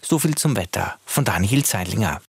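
A man's voice: on the low side, animated, moderate pace, gravelly. A man with an Austrian accent reading a scripted weather forecast on the radio. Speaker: weather presenter.

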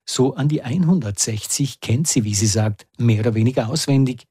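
A man narrating a feature and interviewing a guest in a measured, calm way. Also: mid-range voice, slightly rough, no echo, studio quality.

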